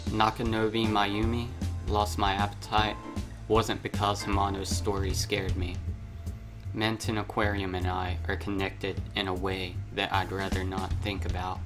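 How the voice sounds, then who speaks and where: A man's voice: fairly low pitch, completely flat delivery, a little slow, over music. A man hosting a gaming video, reading a visual novel aloud and breaking in with casual, self-interrupting asides.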